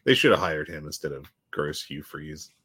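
A man co-hosting a podcast with a husky voice as he gets over a cold, rambling and joking with lots of ums and uhs. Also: extremely low pitch, normal speed, pushed and bright. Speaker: podcast co-host. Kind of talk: casual chat.

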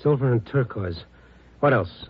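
A man's voice: mid-range voice, lively intonation, unhurried, breathy edge. An actor playing a dogged, compassionate newspaper reporter in a 1950s radio drama, telling his story tersely and asking probing questions. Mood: intent. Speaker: actor in the lead role and narrator. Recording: muffled old radio recording with music.

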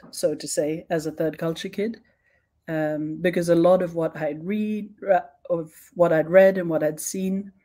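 Young woman guest speaker, low-ish warm voice, reflective and unhurried. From born in Sweden, raised in several countries. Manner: thoughtful, with frequent ums and restarts. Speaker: guest expert. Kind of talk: online panel talk.

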